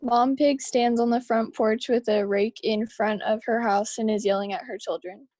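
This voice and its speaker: A woman's voice: on the high side, steady tone, moderate pace, a little mellow, dry recording. A woman student reading scripted action descriptions aloud at an online table read.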